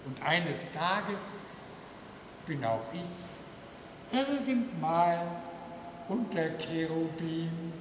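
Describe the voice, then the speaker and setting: In a long, echoing hall, a man recites verse. His voice is high for a man and extremely slow, each phrase drawn out deliberately. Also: big pitch swings. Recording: echoey hall, faint noise.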